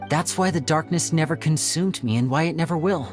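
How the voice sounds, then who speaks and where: A young male stage magician from a video game , acted out in a light voice, gentle and poised. He speaks in a polished, theatrical way that stays a little guarded, with faint music underneath. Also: medium pitch, brisk, close to an everyday voice. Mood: quiet resolve. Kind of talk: video game character acting.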